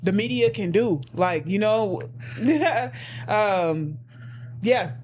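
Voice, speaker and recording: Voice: medium pitch, takes it slowly, darkish tone. A co-host in a casual stream discussion, talking in a rambling way with many ums and restarts. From United States.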